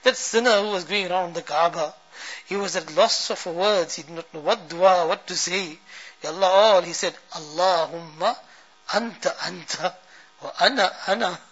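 A man leading an emotional supplication, chanting Arabic verse and pleading fervently, each refrain trailing off to a hush. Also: sits high, normal speed, breathy edge. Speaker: prayer leader. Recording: dull lo-fi recording.

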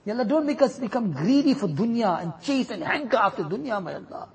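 A man leading a congregation in an impassioned supplication prayer, pleading and closing each plea with a soft trailing refrain. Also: sits high, big pitch swings, fast talker, vocal fry.

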